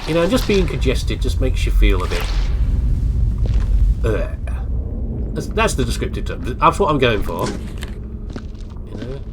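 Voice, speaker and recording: Middle-aged man, stuffy nose, lively rambling let's-play chatter, noisy game audio underneath. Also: mid-range voice, a little slow, pauses often. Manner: chatty, meandering, self-deprecating. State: congested, bunged-up nose. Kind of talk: rambling casual gameplay commentary. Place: home office.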